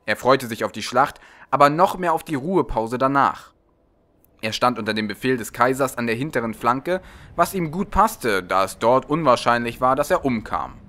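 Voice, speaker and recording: A man with a medium-pitched voice reads a story aloud. He delivers scripted narration in the manner of an audiobook reader. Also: expressive, moderate pace, pushed and bright.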